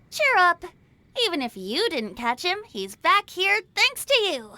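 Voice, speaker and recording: A woman's voice: very high voice, wildly swooping pitch, slow pace, bright, forceful tone, no echo, full character voice. A woman voicing a genial young traveling bard in a game, playful and courteous, with flowery theatrical phrasing. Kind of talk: animated game dub acting.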